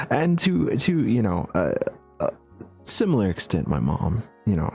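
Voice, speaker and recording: A young man chatting casually on a livestream, answering viewer questions in a relaxed, chatty way with frequent laughs and fillers. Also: fairly low pitch, a little slow, creaky, breathy edge, soft background music. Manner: laid-back, chatty, laughs often, many fillers. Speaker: streamer.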